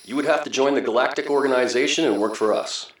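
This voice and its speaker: A man playing a steady, earnest space patrolman in a radio drama, calm and careful in manner. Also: mid-range voice, quick pace, close to an everyday voice. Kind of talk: science fiction radio drama acting. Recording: distant, echoey, faint noise.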